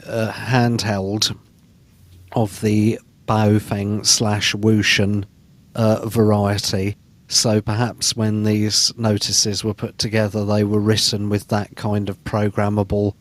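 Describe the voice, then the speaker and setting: A man on a podcast panel, giving measured commentary in formal, precise wording. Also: lowish voice.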